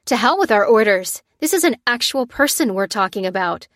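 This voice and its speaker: A woman voicing a bold woman in an audiobook, giving orders with urgent, forceful defiance. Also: a little high, a little slow, no echo, clean studio sound. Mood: defiant, insistent.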